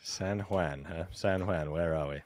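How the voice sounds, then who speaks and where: A man chatting casually on a video call while playing an online guessing game, thinking out loud in a quick, chatty way. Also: sits low, slight background noise. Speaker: gamer guest. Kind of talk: casual chat while gaming. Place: home room on a video call.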